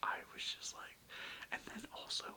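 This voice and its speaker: Man, hushed whisper with crisp, bright edge; loose, slangy ASMR rambling. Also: moderate pace. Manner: casual, rambling, slangy, mildly profane. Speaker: ASMR video creator. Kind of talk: whispered ASMR ramble about old toys.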